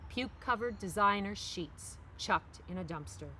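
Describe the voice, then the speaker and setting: A woman's voice: medium pitch, lively intonation, moderate pace, bright, forceful tone. Middle-aged woman reading aloud expressively outdoors. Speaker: author reading from their novel. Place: outdoors on a park bench among trees. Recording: faint outdoor background noise.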